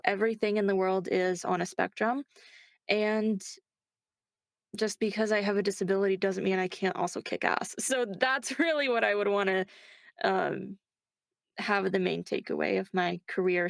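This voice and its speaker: A woman actor being interviewed, chatting casually in a thoughtful, measured way and often checking in with the listener. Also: mid-range voice, creaky, no echo, clean studio sound.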